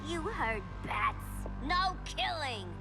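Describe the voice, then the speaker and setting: A woman's voice: sits high, expressive, slow, over music. A woman playing a cheeky, unhinged clown antiheroine in a video game, slangy, teasing and sing-song. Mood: cheeky defiance. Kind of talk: video game cutscene acting.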